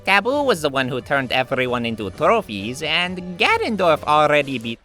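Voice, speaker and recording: A woman's voice: deep voice, very animated, moderate pace, a bit bright, soft background music. A woman voicing a poised, playfully sassy young princess in a cartoon game parody, teasing and matter-of-fact. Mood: teasing, correcting.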